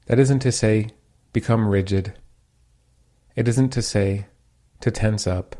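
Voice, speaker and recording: A man leading a guided meditation as its teacher, giving calm, gentle, unhurried instructions. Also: lowish voice.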